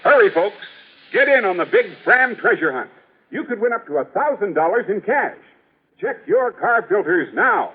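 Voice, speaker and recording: A man's voice: high voice, very animated, moderate pace. A man announcing urgently and promotionally in a vintage radio advertisement. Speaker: commercial announcer. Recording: narrow, hissy old radio recording.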